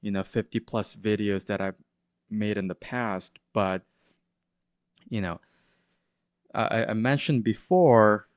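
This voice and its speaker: A man's voice: lowish voice, slow, long pauses, clean tone, no room sound. A man with a sore throat and a congested voice hosts his crypto channel, talking through market charts with lots of hesitant fillers and restarts. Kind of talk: casual market commentary.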